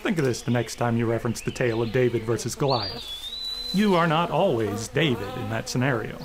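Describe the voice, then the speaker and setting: A man's voice: medium pitch, fairly quick, noisy background. A man presenting a scripted outro as narrator.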